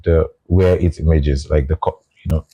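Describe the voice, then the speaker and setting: A Nigerian man talking through his views in a relaxed conversation, often restarting his phrases. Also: extremely low pitch, normal speed, husky. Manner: reflective, restarts phrases, explains with examples. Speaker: guest. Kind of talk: casual conversation.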